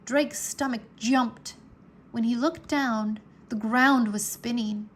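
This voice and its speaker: A woman reads a children's adventure aloud as narrator. Her voice sits on the high side, and she takes her time with measured, dramatic, expressive phrasing. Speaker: storybook narrator.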